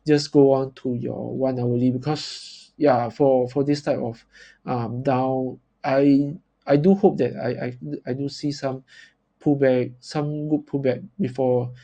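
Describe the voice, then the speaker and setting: A man from Singapore with a Singaporean accent gives informal commentary as a trader, in a halting, repetitive, stop-start way. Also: mid-range voice, fairly even intonation, creaky, warm tone. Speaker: forex trader presenting market analysis. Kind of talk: informal live chart commentary.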